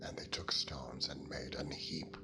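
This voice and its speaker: A man giving a solemn, measured, scripted narration of scripture. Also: very deep voice, steady tone, gravelly, in a whisper. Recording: music bed behind the voice.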